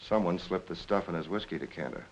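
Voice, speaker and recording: An actor playing a hard-nosed police lieutenant in a 1940s crime film, curt, dry and probing. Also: male voice, low-pitched, quick pace, muffled recording, close to an everyday voice. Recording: hissy 1940s film soundtrack.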